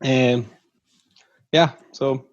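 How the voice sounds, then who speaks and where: A man's voice: medium pitch, steady tone, very slow, no echo. A man in his thirties from northern Mexico, a startup CEO answering interview questions earnestly, with frequent restarts. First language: Spanish. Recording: dull dry lo-fi audio.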